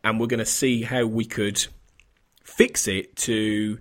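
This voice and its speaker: A man's voice: on the low side, little pitch movement, slow pace. A man hosting a film podcast, chatting casually with a slightly sniffly voice from an oncoming cold and often restarting his sentences. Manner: rambling, self-correcting, chatty. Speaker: podcast host.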